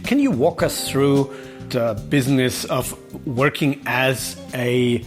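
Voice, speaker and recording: Man asking interview questions over soft music. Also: medium pitch, slow pace. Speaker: podcast host.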